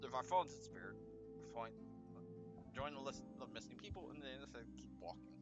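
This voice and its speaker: A man's voice: medium pitch, big pitch swings, brisk. A man reading a visual novel aloud as a let's player, stumbling over words now and then, with casual asides. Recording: music behind the voice. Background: music.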